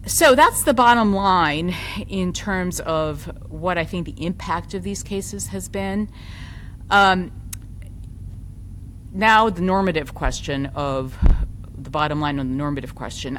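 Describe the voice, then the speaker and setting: Loud, low-voiced woman lecturing. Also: unhurried, a little hiss or hum. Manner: articulate, lively, self-deprecating asides. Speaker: law professor giving a talk. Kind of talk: academic conference lecture.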